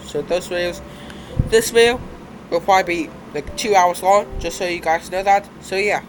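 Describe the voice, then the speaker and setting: A young man with a stuffed-up, sick-sounding voice, rambling casually as he reacts to videos at his computer. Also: sits high. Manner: rambling, halting, uneven loudness. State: stuffed up from a cold. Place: indoors at a computer. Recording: noisy home recording.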